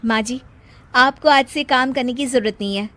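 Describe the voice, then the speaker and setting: A woman voicing a harried young working mother and daughter-in-law in an animated moral story. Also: high voice, normal speed. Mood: gentle.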